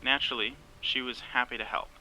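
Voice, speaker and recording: An actor playing a sardonic, bossy best friend in a naturalistic audio drama, wry and exasperated. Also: male voice, medium pitch, steady tone, fairly quick, close to an everyday voice, rough recording. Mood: sardonic, teasing. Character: sardonic, bossy male best friend named Larry, cellphone company worker.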